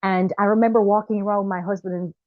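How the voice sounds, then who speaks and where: A woman's voice: medium pitch, steady tone, fast, dry recording, narrow, tinny audio. A woman from Jamaica, a filmmaker, telling her story as an interview guest in an earnest way and laughing between phrases. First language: not French.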